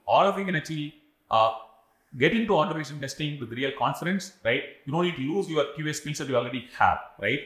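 A man in his forties with an Indian English accent, giving career advice to camera. He talks quickly and keeps adding "right?" to his points. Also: on the high side, expressive, a bit bright, echoey room. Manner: fast, adds frequent "right?" tags. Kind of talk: explanatory talk to camera. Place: studio set styled as a cafe.

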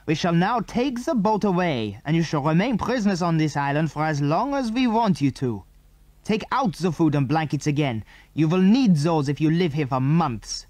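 A man voicing a menacing enemy from abroad in a storybook, stern and deliberate as he commands his captives. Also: on the high side, animated, darkish tone, no echo, lightly acted. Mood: stern, gloating.

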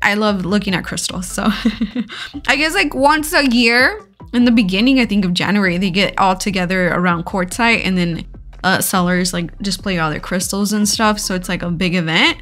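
A woman's voice: medium pitch, moderate pace, a bit bright, soft background music. A woman narrating her road trip vlog in a casual, chatty way, giggling often and filling her talk with "like". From California. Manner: chatty, giggly, many "like" fillers. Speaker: vlogger.

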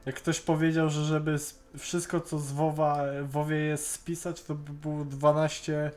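A man talks casually about a series on an online call. He speaks haltingly, often restarting words partway through. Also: on the high side, flat delivery, a little mellow. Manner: halting, stammers and repeats word starts. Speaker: co-host on a Skype call. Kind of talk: casual chat reviewing an animated series.

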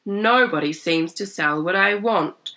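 A woman voicing a polite, weary, grumbling old grandpa in a slow, plaintive way for a storybook. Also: mid-range voice, pushed and bright.

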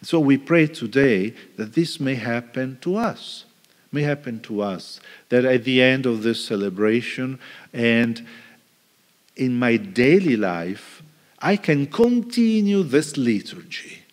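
A middle-aged male priest preaching a homily at a lectern in a large church, reflective, with pauses and restarts. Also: mid-range voice, lively intonation. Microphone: stand mic at lectern. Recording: reverberant church hall.

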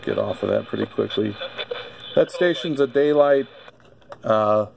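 Male gadget reviewer, casual and hesitant, unhurried delivery, gravelly edge. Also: medium pitch, lively intonation, music in the background, rough recording. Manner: halting, thinking aloud. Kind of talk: casual unscripted product demonstration. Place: indoor electronics workbench.

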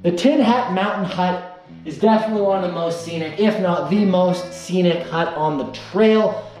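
A man's voice: sits high, normal speed, darkish tone, rough recording. A young man chatting casually and playfully to a handheld camera as a hiking vlogger, with enthusiasm. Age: young adult. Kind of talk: casual outdoor vlog chat. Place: mountain trail outdoors and small wooden hut. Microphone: handheld action camera at arm's length. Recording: background music under the voice.

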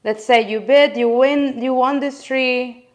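A woman's voice: sits high, steady tone, measured pace. Young woman co-hosting, friendly prompts. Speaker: co-host reading viewer questions. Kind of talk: casual live demo chat. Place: indoor studio.

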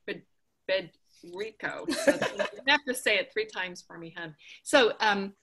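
A woman's voice: mid-range voice, lively intonation, a little slow, vocal fry, a bit bright. A woman hosting an online summit, introducing her guest with effusive enthusiasm over a video call. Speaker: host.

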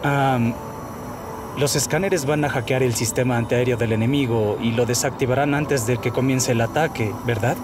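A man's voice: medium pitch, steady tone, normal speed, noisy background, lightly acted. A man with a Latin American Spanish accent playing an earnest young android scout in a video game dub. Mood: casual, earnest.

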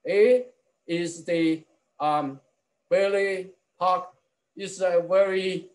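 Middle-aged man, academic keynote lecture, fairly high voice, halting with fillers, video call. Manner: measured, halting delivery with many fillers and restarts. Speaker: keynote speaker, ecologist. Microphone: video call webcam.

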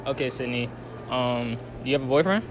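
A man's voice: medium pitch, a little fast, background noise. A young man casually asking interview questions out on a mall concourse. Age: young adult. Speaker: vlog co-host interviewing. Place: large indoor mall concourse.

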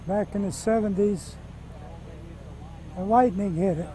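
Older American male farmer, high mellow voice, folksy and plain-spoken, short phrases. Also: a little slow, faint music behind. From United States. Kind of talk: plain casual interview talk.

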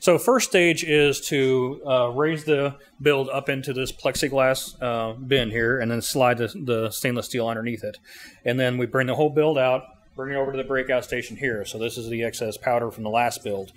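A middle-aged man giving an informal technical explanation in interview answers, plain-spoken and pausing as he goes. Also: mid-range voice, fairly even intonation. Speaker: service bureau co-owner explaining his machine. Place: indoor 3D printing workshop. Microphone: handheld interview mic held by interviewer. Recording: clearly noisy machine-room ambience.

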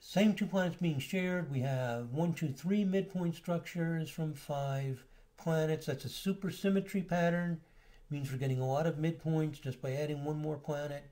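A man giving a relaxed, explanatory lecture over slides, now and then pausing on filler words. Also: on the high side. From United States. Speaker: astrology lecturer.

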